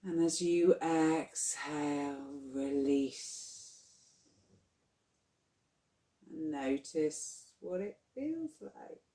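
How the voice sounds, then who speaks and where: A yoga nidra guide leading a guided relaxation in a soothing, unhurried, gently instructing manner. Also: medium pitch, lively intonation. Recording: roomy, echoing sound.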